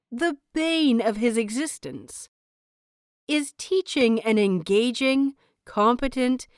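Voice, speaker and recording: A woman narrates fiction in a clear, smooth voice at a slow, measured pace. She places dramatic pauses with care and reads deliberately. The sound is dry and close. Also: fairly high pitch, dry recording. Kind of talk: scripted dramatic narration of a story.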